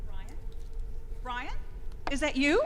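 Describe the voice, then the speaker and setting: A woman's voice: sits high, big pitch swings, slow pace, fairly bright tone, heavily acted. A woman in a live radio play, playing a friendly, chatty old friend. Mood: friendly, curious. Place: large hall, live stage show. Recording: live hall reverb, faint room noise.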